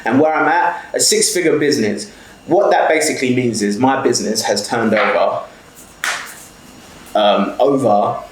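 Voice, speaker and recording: A man's voice: lowish voice, echoey room, a little hiss or hum, poor audio. A man in his twenties with a South London accent, giving a blunt, sweary motivational talk straight to camera. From South London, England. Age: 20s. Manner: blunt, heavily sweary, repeats "okay?". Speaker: small business owner and mentor.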